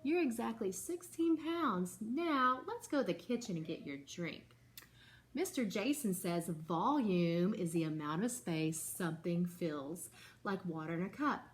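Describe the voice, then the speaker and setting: A woman's voice: medium pitch, lively intonation, measured pace. A woman teacher reading a picture book aloud to her second-grade class and stopping to explain it patiently.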